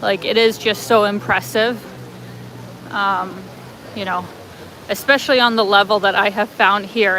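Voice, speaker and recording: A woman's voice: medium pitch, bright, forceful tone, poor audio. A woman vlogger chatting casually while walking outdoors in light rain, reading viewer comments on a livestream with lots of fillers. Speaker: travel vlogger reading live chat. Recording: clear street noise behind. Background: city traffic and passing vehicles.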